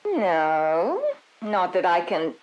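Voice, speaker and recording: A woman's voice: on the low side, very animated, very slow, a little mellow, lo-fi sound, heavily acted. A woman playing a prim, chatty and evasive suburban committee member giving testimony in an audio drama, with an airy, slightly haughty formal manner. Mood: chatty, coy.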